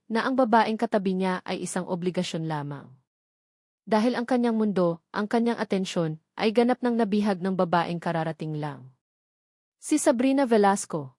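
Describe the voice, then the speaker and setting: A woman narrator with a Filipino accent reads a dramatic love story. Her pitch sits in the middle range for a woman. The recording is very dry, with no room sound around the voice. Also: a little mellow, no room sound.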